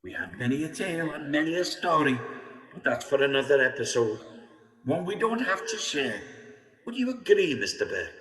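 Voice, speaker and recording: Man playing a bright, echoey ghost. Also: on the high side, sing-song, normal speed. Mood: portentous. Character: eerie male ghost of old-time Scottish villain. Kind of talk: comedy audio drama. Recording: echoey online call recording.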